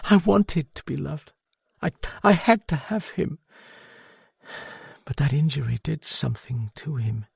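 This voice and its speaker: Tipsy young Englishwoman, put on by a male reader, English accent, slurred and raised in pitch, defiant then self-pitying, for a mystery audiobook. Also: very animated, unhurried, few pauses, a little mellow, slightly rough, dry recording, studio quality, full character voice. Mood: tearful. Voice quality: slurred, broken voice. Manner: swings between surly defiance and self-pity.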